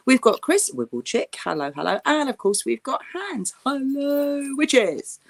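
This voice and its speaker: A woman's voice: high-pitched, sing-song, a little slow, gravelly. A British woman co-hosting a casual chat show in a playful, jokey way. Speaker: co-host of an interactive chat show.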